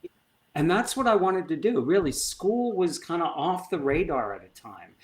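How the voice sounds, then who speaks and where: Animated man telling stories, slightly high voice. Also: normal speed. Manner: animated, emphatic storyteller who acts out dialogue. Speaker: performance coach guest. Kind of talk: conversational storytelling.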